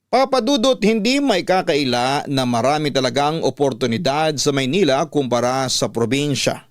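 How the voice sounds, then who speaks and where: A man's voice: medium pitch, no echo. Male storyteller for radio confessional drama, scripted narration, dry studio sound, mid-range voice. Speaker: radio storyteller reading a letter.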